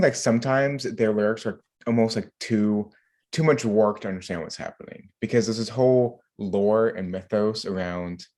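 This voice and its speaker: A man co-hosting a podcast, chatting casually in a rambling, halting way with frequent filler words. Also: lowish voice, darkish tone. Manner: rambling, halting, filler-heavy delivery with many "like"s. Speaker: podcast co-host. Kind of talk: casual group chat.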